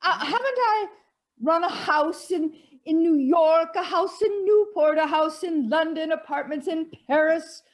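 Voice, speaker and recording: Woman playing grand older society lady, high voice, bossy, preachy, halting emphatic declamation, stage comedy. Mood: indignant, preachy. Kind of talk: stage comedy acting over video call. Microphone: video call.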